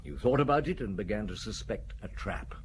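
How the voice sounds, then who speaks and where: Stern middle-aged inspector played by a man, blunt and probing, lowish voice, faint background hum, radio drama. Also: animated, a little fast, natural voice.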